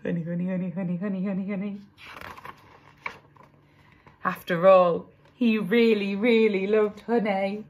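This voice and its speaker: A woman narrating a children's story expressively, with dramatic, drawn-out emphasis on key words. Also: medium pitch, steady tone, highs cut off, slight background noise. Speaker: storybook reader.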